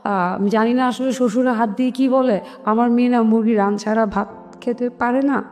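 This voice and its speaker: A woman's voice: fairly high pitch, moderate pace. A woman with a Bangladeshi accent telling her personal story in an interview, acting out conversations and mixing in some English words. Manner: animated retelling with quoted family dialogue, English words mixed in. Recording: long hall reverb, music underneath.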